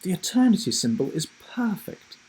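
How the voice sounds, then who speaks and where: A man's voice: high voice, sing-song, moderate pace, darkish tone, slightly rough, voice pushed up, exaggerated voice, poor audio. A man voicing a doting, delighted elderly grandmother from a children's storybook in a sweet, gushing manner.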